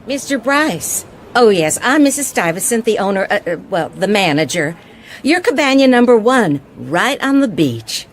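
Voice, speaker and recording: A prim hotel landlady played by an actress speaks with officious disapproval. Her pitch sweeps widely, in radio drama style over faint noise. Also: sing-song, normal speed, lightly acted. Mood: officious welcome. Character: prim, nosy, disapproving female hotel owner.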